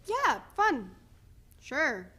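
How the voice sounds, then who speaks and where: An exasperated teenage girl in a stage play, acted by a woman, with a high voice that swings widely. She scolds with biting sarcasm, and there is clear room noise behind her. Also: wildly swooping pitch, extremely slow, bright, forceful tone, heavily acted. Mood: sarcastic. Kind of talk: dramatic reading of a play.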